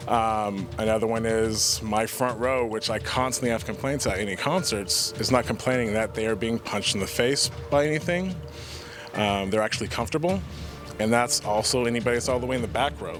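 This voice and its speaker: A man who works as a theater technical director, giving an earnest, informal, explanatory testimonial in an interview. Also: lowish voice. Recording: music playing behind the voice. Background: background music.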